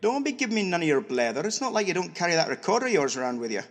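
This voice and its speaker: A man acting as a young Scottish sidekick in an audio drama. He rattles along quickly with lively swings of pitch, blunt, grumbling and ready to bicker. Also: long reverb tail. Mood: indignant. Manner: blunt, indignant banter. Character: feisty, grumbling young Scottish male adventurer.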